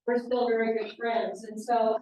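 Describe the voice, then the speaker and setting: A woman in her sixties from Kansas, a guest author who says she has a loud voice. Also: a little high, level tone, normal speed, very echoey, distant mic, a little hiss or hum, rough recording. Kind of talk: author talk. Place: library meeting room.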